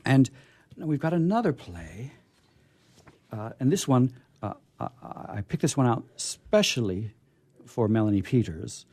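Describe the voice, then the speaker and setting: A male radio host with a mellow, mid-pitched voice and very lively intonation. He chats casually, rambling and circling back over his words, in a dry studio sound. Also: unhurried, dry recording. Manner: rambling, hesitant, repeating himself. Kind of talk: casual unscripted show chat.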